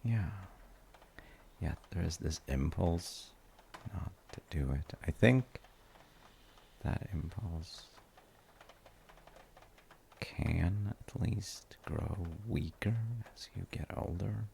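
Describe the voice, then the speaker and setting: A man playing a gentle, reflective dad in an intimate audio roleplay, musing softly and reassuringly to a tired child with thoughtful pauses. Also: a little hiss or hum, exaggerated voice. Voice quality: gravelly, creaky low voice. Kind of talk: soft intimate sleep-aid audio roleplay.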